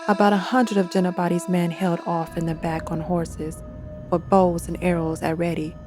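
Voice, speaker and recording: A woman, the author, narrating a dark fantasy story in a steady, expressive reading style. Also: lowish voice, moderate pace, over music.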